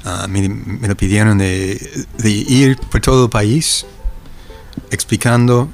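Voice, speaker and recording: An older American man with a somewhat low voice gives reflective testimony in an interview. His American accent marks him as a native English speaker. He feels his way haltingly through sentences, repeating syllables as he hunts for the next word. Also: steady tone, creaky, soft background music. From United States. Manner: halting, searching for words, frequently repeating syllables. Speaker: interviewee, academic eyewitness.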